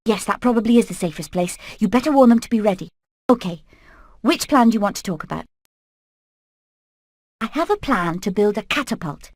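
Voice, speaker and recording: A woman's voice: mid-range voice, lively intonation, a little fast, long pauses, darkish tone, dry recording, lightly acted. A woman voicing a determined hen leader in a video game, earnest and purposeful. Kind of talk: animated video game acting.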